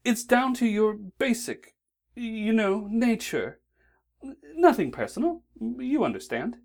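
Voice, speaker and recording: Aziraphale is a fussy, well-meaning male angel, put on by a woman reading aloud. He frets and stammers, and his voice lifts high with worry and swings widely. It is a storybook voice, prim and nervous. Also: sing-song, slow pace, darkish tone, exaggerated voice. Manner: flustered, stammering. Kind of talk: audiobook reading.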